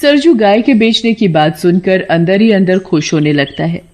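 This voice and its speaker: A woman's voice: medium pitch, normal speed, darkish tone. A woman storyteller reading scripted narration for a cartoon folk tale.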